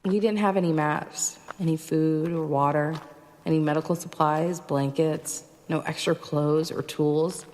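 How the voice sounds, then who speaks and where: A low-pitched female voice with a long reverberant tail. A woman plays a weary, determined survivor of an invasion, telling her own story as audio drama and slipping into dialogue. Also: fairly even intonation, measured pace, darkish tone. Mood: weary, uneasy. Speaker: narrator and lead actor. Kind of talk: first-person audio drama narration with acted dialogue.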